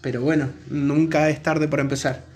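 A man with an Argentine accent from Mendoza, co-hosting a casual podcast in a halting, hesitant way. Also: fairly high pitch, flat delivery, darkish tone. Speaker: podcast co-host.

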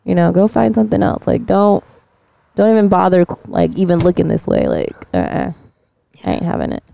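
A woman's voice: lowish voice, big pitch swings, a little fast, vocal fry, warm tone, dry recording. A woman in her twenties hosting a podcast, chatting casually and swearing freely as she retells stories. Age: 20s. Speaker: podcast host. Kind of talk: casual chat.

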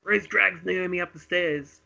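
Bright, higher-voiced man narrating a radio play. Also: steady tone, unhurried, creaky, highs cut off. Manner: dramatic present-tense storytelling. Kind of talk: reading a script aloud for a homemade radio play.

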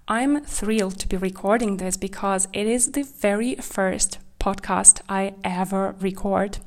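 Woman podcast host, from Kazakhstan, candid and reflective, medium pitch. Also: measured pace. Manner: candid, reflective, with small slips of grammar. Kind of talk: solo podcast monologue.

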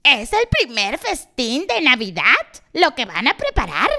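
Prim, scolding storybook turkey hen, voiced by a woman; lively, swooping delivery. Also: high-pitched, measured pace, heavily acted. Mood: bossy. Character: bossy fussy female turkey, prim lady.

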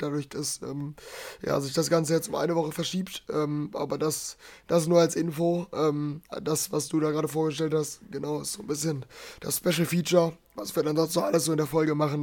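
A man co-hosting a podcast, hoarse and strained after a long night of singing and a slight cold, talking candidly. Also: a little high, darkish tone. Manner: candid, self-mocking about his voice. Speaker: podcast co-host. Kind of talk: casual chat. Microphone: remote call, voice heard through a bot.

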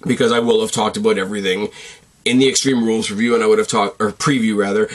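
A man hosting a wrestling show, talking in a casual, opinionated way while losing his voice and sounding a bit raspy. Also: fairly low pitch, steady tone, fast talker. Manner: opinionated, swearing, rambling. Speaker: wrestling podcast host. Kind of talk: casual commentary.

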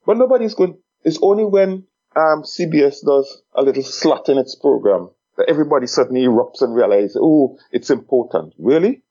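A man's voice: medium pitch, lively intonation, fairly quick, dark tone, husky. A man hosting a discussion program, speaking emphatically and stressing key points. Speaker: program host. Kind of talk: casual discussion. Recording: dull lo-fi sound.

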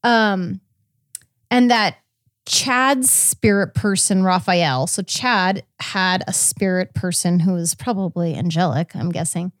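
A woman's voice: lowish voice, slow, no echo, studio quality. A woman podcast host casually recapping a story in a chatty, informal way, with quoted asides. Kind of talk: casual conversational recap of a court case.

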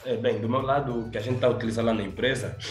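A man's voice: medium pitch, very fast. A young man with an Angolan Portuguese accent, an intern talking casually and hesitantly about his job in an interview. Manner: relaxed, hesitant with fillers. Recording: echoey room, faint music.

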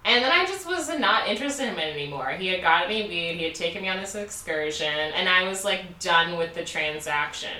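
Young woman from Baltimore, casual podcast co-host, bright voice, recorded off-mic in an echoey room. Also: fairly low pitch, lively intonation, brisk, few pauses, distant mic, a little hiss or hum. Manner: candid, laughing, many "like" fillers. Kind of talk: casual storytelling chat.

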